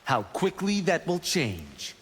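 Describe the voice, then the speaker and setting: A man's voice: a little high, huge pitch swings, slow pace, slightly rough, big-room sound, full character voice. A man playing a proud, eager young martial arts monk who boasts with self-assurance in video game banter. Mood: cocky.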